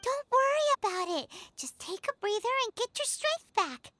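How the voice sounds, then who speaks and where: A woman voicing a tiny, bubbly female fairy companion in a game, with a very high, squeaky voice and a fussing manner. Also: animated, normal speed, bright, forceful tone, no room sound, heavily acted. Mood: caring. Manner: bubbly, fussing over others. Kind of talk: game voice acting.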